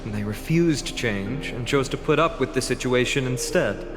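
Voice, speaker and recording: A man's voice: medium pitch, moderate pace, close to an everyday voice. A man playing an earnest, loyal young hero in video game dialogue, speaking sincerely and conversationally. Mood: earnest, thoughtful. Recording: reverberant, music behind.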